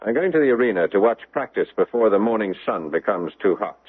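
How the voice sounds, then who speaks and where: Florid Roman lord, animated and husky. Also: male voice, lowish voice, fast, narrow, tinny audio. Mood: excited, gushing. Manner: florid, theatrical, imperious. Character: young, pompous, pleasure-loving Roman lord and guardian. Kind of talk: 1970s radio drama acting. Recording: thin vintage radio broadcast.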